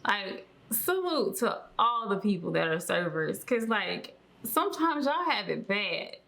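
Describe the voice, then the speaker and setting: A woman co-hosting a casual reaction chat, informal and halting as she thinks aloud. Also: mid-range voice, big pitch swings, gravelly, a bit bright. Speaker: co-host reacting to a video.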